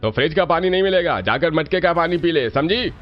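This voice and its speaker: A man's voice: a little high, animated, a little fast, muffled recording, lightly acted. A man voicing a stern, stingy middle-aged uncle in a family cartoon, curt and scolding. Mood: stern, irritated. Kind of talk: animated family story dialogue.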